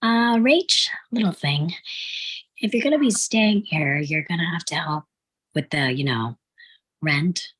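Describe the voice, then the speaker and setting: A woman's voice: sits low, expressive, unhurried, breathy edge, full character voice, rough recording. An actress reading the part of a practical, teasing young woman in a sitcom table read. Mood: careful.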